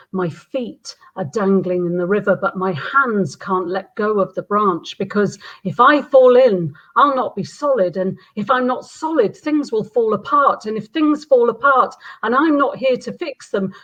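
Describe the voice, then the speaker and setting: A woman reading her poem aloud with measured, deliberate phrasing. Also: medium pitch. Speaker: poet reading own poem. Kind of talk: poetry reading. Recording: slightly dull, lo-fi sound.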